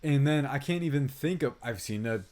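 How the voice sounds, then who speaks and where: A man with a mid-pitched voice chats as a podcast co-host reviewing films. He is emphatic and exasperated, swears freely and keeps the talk loose and conversational. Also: animated, normal speed, a little mellow. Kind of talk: casual film review chat.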